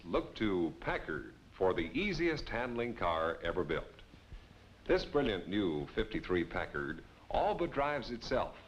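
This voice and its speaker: A man's voice: fairly low pitch, highs cut off. A man announcing a scripted 1950s car commercial. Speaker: advert announcer. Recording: faintly noisy vintage advert.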